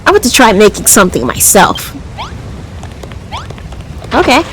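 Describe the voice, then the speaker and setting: A woman's voice: a little high, wildly swooping pitch, unhurried, pitched below the performer's own voice. A woman voicing a brash, grumpy, impatient young man from a video game, talking bluntly and casually. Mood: grudging, eager. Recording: clearly noisy background.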